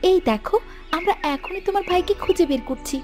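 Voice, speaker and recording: A very high, quick voice plays a devoted young cartoon wife, acted by a woman. She is tender and emotional, with music clearly behind her. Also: lively intonation, darkish tone. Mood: sympathetic. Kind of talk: children's fairy tale cartoon voice acting.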